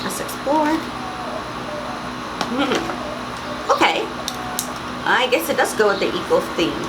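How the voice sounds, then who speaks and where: A young woman with a slightly raspy morning voice, chatting casually through a beauty box unboxing and reading product labels aloud. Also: on the high side, big pitch swings, slow pace, heavy background noise. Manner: chatty, reads labels aloud, frequent mm-hmms. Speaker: beauty vlogger.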